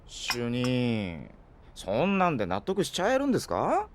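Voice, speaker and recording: An earnest young man with a widely swinging pitch. He is a timid junior researcher in an audio drama, hesitant, deferential and easily flustered. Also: huge pitch swings, measured pace. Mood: puzzled. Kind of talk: Japanese audio drama acting.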